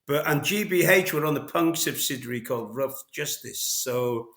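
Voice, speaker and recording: A man's voice: medium pitch, moderate pace, a bit bright, roomy sound. A middle-aged Welsh man from North Wales, a veteran drummer telling his story in a casual interview, rambling with many fillers and restarts.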